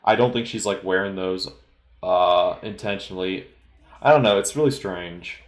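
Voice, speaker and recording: Low-voiced man, hesitant and rambling. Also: echoey room. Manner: hesitant, rambling, halting delivery. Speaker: film commentator. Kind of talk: informal solo movie commentary.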